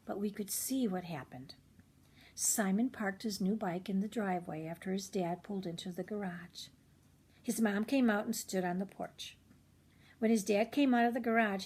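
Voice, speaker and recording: Husky older woman reading a children's storybook. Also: medium pitch, a little mellow. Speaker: children's read-aloud host. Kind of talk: expressive storybook narration with chatty asides to children.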